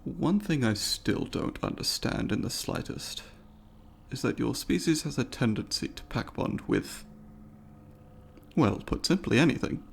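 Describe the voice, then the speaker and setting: A man voicing a curious, courteous alien researcher in an ASMR roleplay, speaking formally and deliberately, with academic wording and a gravelly voice. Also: mid-range voice, lively intonation, slight background noise. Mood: earnest, puzzled. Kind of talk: ASMR roleplay, scripted monologue to the listener.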